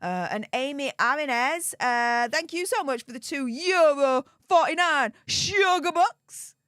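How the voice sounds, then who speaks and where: A woman's voice: sits high, expressive, unhurried, a bit bright, no room sound, clean studio sound. A woman with a Yorkshire accent hosting a live stream, reading out donations with perky, gushing enthusiasm. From Yorkshire, England.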